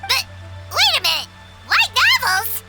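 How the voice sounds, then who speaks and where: A tiny, cheeky girl sidekick from a game. The voice is extremely high, squeaky and childlike, bouncing around excitably. Loud music plays underneath. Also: measured pace, vocal fry, full character voice. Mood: startled, suspicious. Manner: exclaiming, speaks of herself in third person. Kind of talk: video game voice acting.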